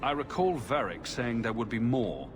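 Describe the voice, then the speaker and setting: An actor playing an easygoing adventurer hero in a video game, with a wry, understated delivery. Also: male voice, mid-range voice, lively intonation, normal speed, background noise, close to an everyday voice. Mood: relaxed, candid. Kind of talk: video game cutscene acting.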